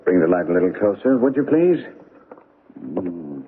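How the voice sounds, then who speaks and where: A muffled old radio recording carries a keen, commanding detective. His low voice swings widely in pitch as he probes deliberately and theatrically, in the manner of classic radio mystery. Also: very animated, slightly rough, heavily acted. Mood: intent, musing. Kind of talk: old-time radio drama acting.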